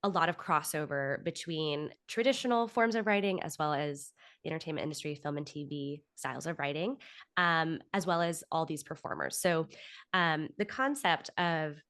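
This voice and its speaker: A woman's voice: low-pitched, moderate pace, fairly bright tone. A woman in her thirties speaking as an interview guest, giving articulate, reflective answers at length in a conversational style. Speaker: interview guest, author and actor.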